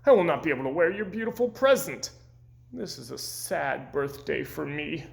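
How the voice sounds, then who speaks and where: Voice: mid-range voice, animated, normal speed, gravelly, echoey room. A reader voicing a grumpy but earnest male toad from a storybook, speaking emphatically. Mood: dismayed.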